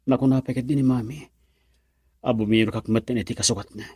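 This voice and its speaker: Guy acting lovesick young filipino man, really breathy husky voice, troubled and shy, radio drama, dry sound. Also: mid-range voice, fairly even intonation, fast talker, warm tone, no room sound, close to an everyday voice. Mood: dejected.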